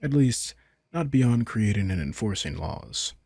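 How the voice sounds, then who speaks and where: A man as a gravelly, smug vampire king. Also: lowish voice, expressive, unhurried, no echo, studio quality, heavily acted. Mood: casual explaining. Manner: casual, teasing, softly condescending. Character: vampire king, male, smug, casual, manipulative charmer. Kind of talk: intimate roleplay audio drama.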